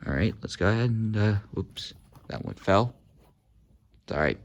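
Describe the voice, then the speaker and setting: A man talking casually through a hands-on demo, halting often with uhs and ums while he handles the gear. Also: on the low side, expressive, slight background noise. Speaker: hobby video host demonstrating walkie-talkies.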